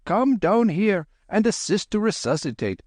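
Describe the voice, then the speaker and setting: Man doing a fussy clerk, fretful, high strung, pitched way up, lively. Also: unhurried, no echo, studio quality, exaggerated voice. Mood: frantic. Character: fussy anxious middle-aged Indian clerk, excitable.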